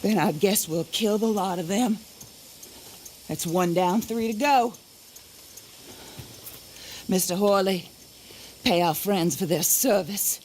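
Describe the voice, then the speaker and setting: Vengeful frontier widow for a Western game, woman actor: commanding, cold, measured and slow. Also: medium pitch, a little mellow, slight background noise, lightly acted. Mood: cold resolve. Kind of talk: dramatic video game acting.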